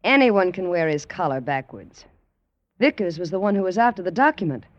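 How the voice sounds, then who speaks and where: A woman's voice: on the low side, expressive, a little fast, no room sound, close to an everyday voice. An actress playing a composed, coaxing woman doctor and secret agent in an old radio drama, soothing and assured. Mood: reassuring. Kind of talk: 1940s-50s radio drama acting. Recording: dull, dry vintage radio recording.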